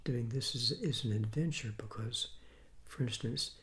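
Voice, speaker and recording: A man in his sixties, hoarse from a cold, reviewing fast food in a soft, half-whispered ASMR style with many restarts, seated at a table indoors. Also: medium pitch. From Southeastern United States. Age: 60s. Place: small room at a table, indoors. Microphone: fixed camera mic at close range.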